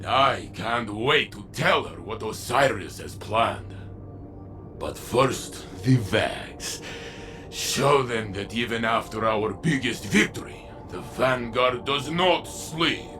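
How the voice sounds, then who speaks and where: Big-hearted old soldier in a sci-fi game, talks slow, music under him. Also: male voice, mid-range voice, lively intonation. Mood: fond, rallying. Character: earnest, warm-hearted male veteran sci-fi warrior. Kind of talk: video game character dialogue. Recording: music behind the voice.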